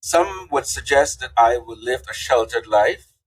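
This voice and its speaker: A man's voice: mid-range voice, measured pace, slightly rough, rough recording. An older man, telling folksy stories as a podcast guest. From Guyana. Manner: animated, folksy storyteller. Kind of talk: casual interview storytelling.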